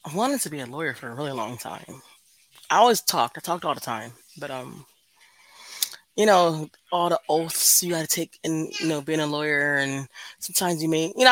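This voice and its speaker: A woman with a notably deep, low voice talks in a loose, rambling way and drops curse words without a second thought. The recording is dry, with no room sound around her. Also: fairly bright tone, no room sound. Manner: laid-back, rambling, swears casually. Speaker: podcast host, guest. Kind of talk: casual podcast chat.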